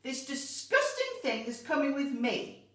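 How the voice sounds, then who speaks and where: A woman's voice: a little high, sing-song, slow, reverberant. A woman voicing a fierce, bossy storybook headmistress who bellows and snaps imperiously. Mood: bellowing.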